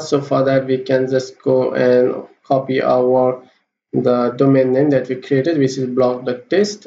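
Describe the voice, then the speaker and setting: Male coding tutor, gravelly voice, halting delivery, roomy lo-fi recording. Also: medium pitch, warm tone. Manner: halting, many fillers and restarts. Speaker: programming tutor. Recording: roomy, dull lo-fi with faint hiss.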